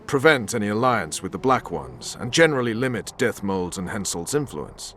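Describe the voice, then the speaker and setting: A man's voice: fairly low pitch, sing-song, moderate pace, fairly bright tone, slight background noise. A man acting a hard, blunt soldier and spymaster in a fantasy video game, clipped and intense. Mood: matter-of-fact.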